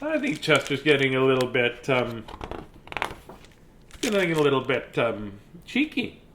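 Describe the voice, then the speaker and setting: A man's voice: medium pitch, very animated, a little slow, background noise. A man hosting an informal children's read-aloud, playful and rambling, often breaking off to comment on the book. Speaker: children's story reader and host.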